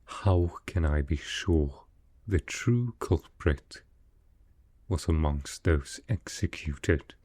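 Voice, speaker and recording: A man playing a slow, deep-voiced sickly nobleman. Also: animated, no room sound, exaggerated voice. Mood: somber, brooding. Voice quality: low, soft, with a cough. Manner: formal, measured, dryly reserved. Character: sickly, proud, melancholy male aristocrat. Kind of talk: roleplay ASMR audio drama.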